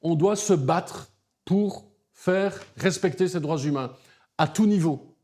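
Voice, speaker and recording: Mellow-voiced man, earnest and emphatic. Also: a little high, takes it slowly. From Belgium. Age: middle-aged. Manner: articulate, emphatic, builds argument in lists. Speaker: human rights lawyer, interview guest. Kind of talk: earnest interview answers.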